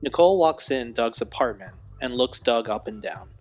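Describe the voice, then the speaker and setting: A man's voice: mid-range voice, expressive, moderate pace. A man giving scripted narration for an audio drama. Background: music bed.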